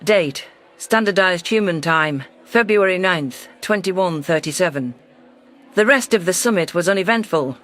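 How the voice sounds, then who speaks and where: A man's voice: high voice, a bit bright. A man reading a scripted first-person science fiction story as its narrator.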